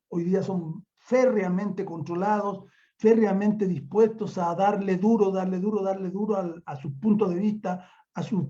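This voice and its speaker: An older Chilean man gives an improvised academic talk in a high, soft-edged voice. He hammers points home by repeating words and phrases. The sound is dull and lo-fi, as on an online call. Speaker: university professor, guest speaker. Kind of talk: extemporaneous online academic lecture. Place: remote online conference call.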